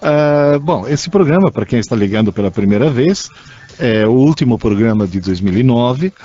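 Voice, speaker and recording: A man's voice: mid-range voice, lively intonation, moderate pace, dark tone. A man with a Brazilian accent co-hosting a radio show, explaining things conversationally in a teacherly way, repeating points for emphasis. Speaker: radio co-host. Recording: dull lo-fi radio recording.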